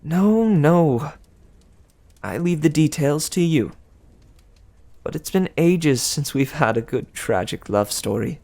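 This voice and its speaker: A man's voice: on the high side, lively intonation, slow, pauses often, a little mellow, voice pushed up, heavily acted. A man voicing a vain, doting goddess of love who sighs and talks breathily. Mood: gushing. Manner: breathy gushing, sighing.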